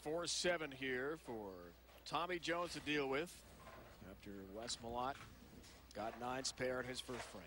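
A man doing play-by-play on a televised bowling match, brisk and upbeat, over music and crowd noise. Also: medium pitch, steady tone. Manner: brisk, upbeat promo delivery. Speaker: play-by-play announcer. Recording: broadcast mix with music and crowd.

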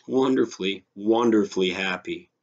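A man's voice: lowish voice, normal speed. A man acting an indignant, sarcastic man, muffled recording. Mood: bitterly indignant. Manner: muttering, snorting, scornful. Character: indignant, sarcastic grown man nursing a grievance.

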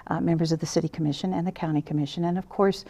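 A woman's voice: lowish voice, fairly even intonation, rapid-fire, mellow. A woman in a studio interview, speaking earnestly as a guest on a lapel mic, with frequent restarts and fillers. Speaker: interview guest, council director. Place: small TV studio set, seated.

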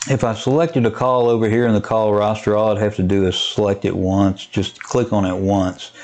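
A man giving a casual, unscripted software tutorial, hesitant and thinking aloud as he goes. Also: fairly low pitch, flat delivery, normal speed. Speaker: amateur radio hobbyist giving a software tutorial. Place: indoors at a computer, voice-over of screen recording.

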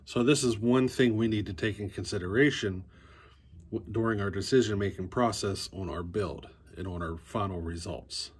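A man's voice: fairly low pitch, normal speed, slightly rough. A man in his forties teaching chainsaw work in a basement workshop, talking easily to a close phone camera and thinking aloud as he goes. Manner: easygoing, thinking aloud with fillers and restarts. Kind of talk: casual workshop how-to.

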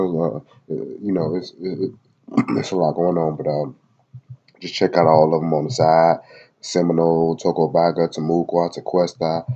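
A man's voice: low voice, mellow, highs cut off. A man with a head cold reading web pages aloud in a casual video, with slangy asides and many fillers. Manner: loose slangy asides between read passages, many fillers. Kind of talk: casual screen-recorded reading and commentary. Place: at home in Florida.

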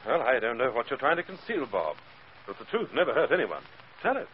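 A man plays an earnest, bewildered gentleman in a 1940s radio crime drama. He is hesitant, with a mid-pitched, expressive voice on a dull, noisy recording. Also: a little fast, close to an everyday voice. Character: dazed, well-mannered gentleman accused of murder.

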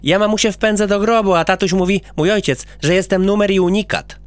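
A man voicing a cheeky, boastful little boy who prattles eagerly and mischievously in a high childlike voice. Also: fairly quick, dry recording, natural voice.

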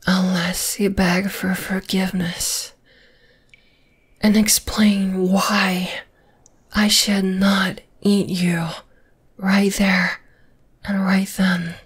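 A woman playing a dominating, flesh-eating spider monster in an ASMR roleplay, whispering in a breathy, teasing, menacing way. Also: mid-range voice, little pitch movement, very slow, pauses often, exaggerated voice. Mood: taunting. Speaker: voice actor.

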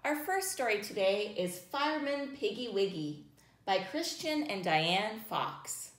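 A woman in her thirties hosting a children's storytime, reading aloud in simple, deliberate phrases and putting questions to young listeners. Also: mid-range voice, lively intonation. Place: small room indoors, near a plain wall. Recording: roomy indoor echo.

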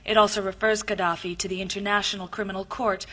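A woman reading a news item in a crisp, even way. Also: medium pitch, quick pace. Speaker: news anchor.

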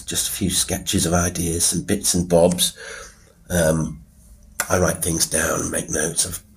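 Deep, very husky British man. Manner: self-deprecating, rambling, wry. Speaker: horror podcast host and writer. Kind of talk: informal solo podcast commentary. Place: quiet room with a piano at work. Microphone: wireless Bluetooth mic into a phone.

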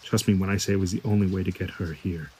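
A man's voice: low-pitched, steady tone, fast talker, warm tone, a little hiss or hum, close to an everyday voice. A man playing a wry, streetwise fixer in an audio drama, stammering and cursing as he talks in slang. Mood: wry, confiding. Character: shady male store-running fixer, wheedling, wry, streetwise.

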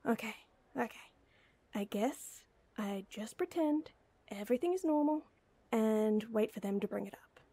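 A woman's voice: a little high, lively intonation, unhurried, dark tone, dry recording. A woman playing a flustered, lovestruck young woman in an intimate audio roleplay, hesitant and teasing. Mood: anxious, musing.

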